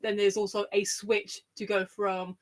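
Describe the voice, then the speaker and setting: A woman's voice: mid-range voice, flat delivery, unhurried. A woman co-hosting a podcast, explaining things in casual conversation. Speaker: podcast co-host.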